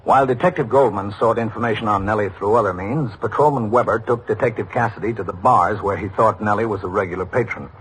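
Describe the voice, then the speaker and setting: A man playing a steady, commanding American police captain in an old radio drama, speaking and narrating in clipped, official phrases. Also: lowish voice, natural voice. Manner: matter-of-fact, clipped official speech. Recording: noisy vintage radio drama recording.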